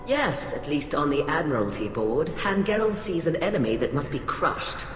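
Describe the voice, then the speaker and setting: An actress playing a cold, haughty alien admiral in a video game, speaking in a clipped, formal, condescending way. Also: female voice, deep voice, big pitch swings, moderate pace. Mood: cool disdain. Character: cold, haughty alien admiral, female, middle-aged scientist, ruthlessly rational. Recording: echoey hall reverb, music behind.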